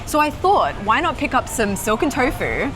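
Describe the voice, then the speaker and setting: A woman's voice: fairly high pitch, big pitch swings, normal speed, rough recording. A woman with an Australian accent hosting a casual vlog, chatty and playful. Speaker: vlog host. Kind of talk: casual vlog narration and chat. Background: music.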